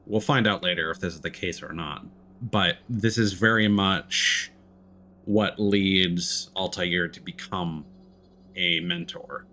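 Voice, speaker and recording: A man giving casual, thoughtful let's play commentary, often halting mid-sentence as he works through an idea. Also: deep voice, steady tone, sharp tone, slight background noise. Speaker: game streamer. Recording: game music behind the voice. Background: video game music.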